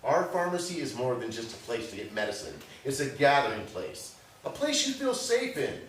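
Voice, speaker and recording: Distant and very echoey, with faint noise, as at a live play reading. A man plays a folksy, nostalgic husband in his sixties who grumbles with very lively intonation. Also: mid-range voice, moderate pace. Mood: grumbling, nostalgic. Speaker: actor in a staged reading. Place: indoor live staged reading.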